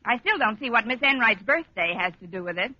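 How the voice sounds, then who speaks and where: An actress playing a wry woman schoolteacher with deadpan sarcasm and crisp timing in a 1940s radio comedy. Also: on the high side, brisk, dry recording, full character voice. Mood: dry, jealous. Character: wry, wisecracking woman high school teacher. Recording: muffled noisy old radio recording.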